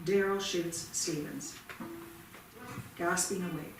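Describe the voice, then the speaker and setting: A woman's voice: lowish voice, steady tone, slow. A woman giving scripted narration as the stage directions reader at a play reading. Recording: echoey theatre, distant mic, noisy.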